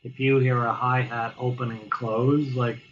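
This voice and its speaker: A man talking casually as an interview guest, halting and restarting often as he explains how he plays drums. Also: medium pitch, flat delivery, muffled recording, slight background noise. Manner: halting, many restarts and fillers. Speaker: guest drummer.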